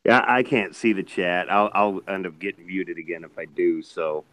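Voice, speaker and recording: A man with a fairly low voice who jokes and teases as he chats. He is heard on a live video call. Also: normal speed, a bit bright, rough recording. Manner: joking, teasing. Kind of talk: casual chat.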